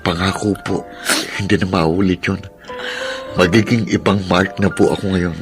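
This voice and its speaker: A man playing an earnest, contrite young son in a Filipino radio drama, with a husky voice and respectful delivery. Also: sits low, steady tone, normal speed, over music, close to an everyday voice. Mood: apologetic.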